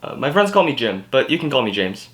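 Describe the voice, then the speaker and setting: A man playing a polite, unimpressed speech therapist with a deadpan manner. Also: mid-range voice, fast talker, slight background noise, pitched below the performer's own voice.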